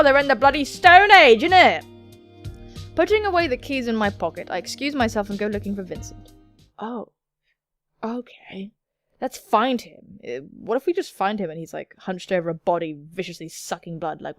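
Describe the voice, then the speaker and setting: A woman's voice: mid-range voice, quick pace, no echo, soft background music. A woman hosting gaming videos, reading a visual novel aloud with playful, theatrical commentary and casual swearing. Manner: expressive, theatrical, jokey swearing.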